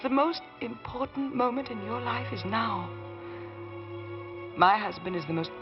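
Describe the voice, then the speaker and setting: An actress playing a loyal, tender wife, earnest and deliberate, in an old radio drama. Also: female voice, on the high side, steady tone. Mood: fervent. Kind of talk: 1940s radio drama acting. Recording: dull old radio recording, music behind.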